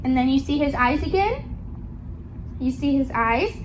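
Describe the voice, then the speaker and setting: High-pitched woman reading slowly to a child. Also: lively intonation, slight background noise, rough recording. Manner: coaxing, questioning, sing-song child-directed talk. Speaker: storybook reader for a young child. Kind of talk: dialogic picture-book read-aloud with questions to a child.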